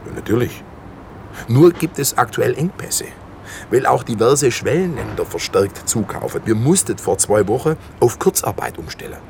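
An actor playing an evasive, officious slaughterhouse manager in a naturalistic radio drama, talking in formal, defensive corporate phrases. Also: male voice, mid-range voice, animated, normal speed, gravelly, slight background noise. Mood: defensive, firm.

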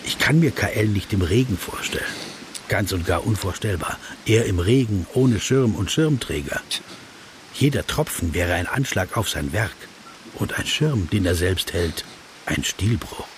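An actor playing a polite, self-conscious writer in a German radio play, probing hesitantly and adding dry, ironic asides. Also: male voice, deep voice, lively intonation, unhurried, background noise. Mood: wry, musing. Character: wry, polite, self-conscious male writer interviewing a celebrity. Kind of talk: German radio play dialogue and inner narration.

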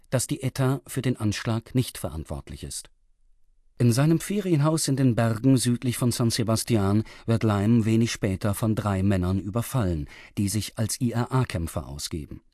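Guy narrator, mid pitch, measured factual story recap for a radio thriller, dry studio. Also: darkish tone, no echo. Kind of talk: scripted recap narration for a radio crime drama.

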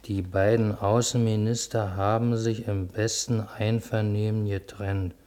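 An actor as a slow, low-voiced grumbling man. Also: flat delivery, mellow, close to an everyday voice. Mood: grumpy muttering. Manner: slow, deliberate reading with muttered grumpy asides. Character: grumbling conservative man reading newspapers aloud to himself. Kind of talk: German radio play acting.

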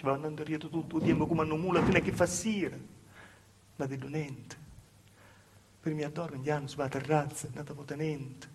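Guy acting as plaintive young calabrian village woman, gravelly kinda high voice, halting stage monologue, bit of noise on it. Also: close to an everyday voice. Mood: sorrowful, pleading. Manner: halting, repetitive, dropping to hushed asides. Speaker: stage actor performing a monologue. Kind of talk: dialect theatre monologue, storytelling.

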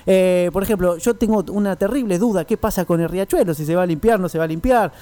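A man's voice: sits high, fast talker, warm tone, no room sound. A man with a Buenos Aires accent chatting casually as a radio host, informal and rambling. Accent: Argentine, Buenos Aires. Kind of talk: casual radio interview chat.

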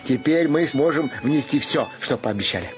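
An actor plays an excitable, eccentric artist, a husband who fusses and halts as he talks. His pitch swings widely, and music plays under this radio drama voice. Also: normal speed, natural voice. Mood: elated. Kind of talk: audio play acting.

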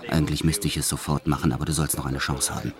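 Very deep-voiced violent career criminal. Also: male voice, flat delivery, quick pace. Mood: menacing, enraged. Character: violent male career criminal confessing his life, brutal yet tender. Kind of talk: first-person radio play narration.

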